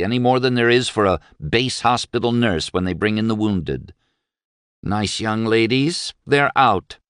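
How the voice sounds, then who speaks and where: A bold young woman, as a male narrator puts her on, raised well above his own voice and full of lively swoops. She teases and presses her point with quick, forceful insistence. The sound is close and dry. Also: mid-range voice, dry recording, pitched above the performer's own voice. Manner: teasing, then fervent and insistent.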